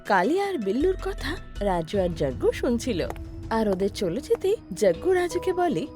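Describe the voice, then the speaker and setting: A woman's voice: fairly high pitch, sing-song, a little slow, music in the background. A woman reading scripted narration for a cartoon story as its narrator.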